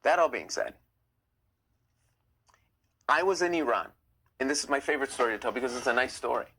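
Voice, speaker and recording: A young man with a bright, forceful voice talks close to the mic as he hosts a live stream. He chats casually and reads out viewer comments, and his voice climbs higher when he gets worked up. The pitch sits in the middle for a man. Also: expressive, brisk, husky. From United States. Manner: chatty, opinionated, gets heated and rises in pitch.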